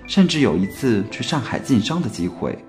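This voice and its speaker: A man's voice: mid-range voice, very animated, a little fast, darkish tone. A man narrating a scripted story for an audio drama. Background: music.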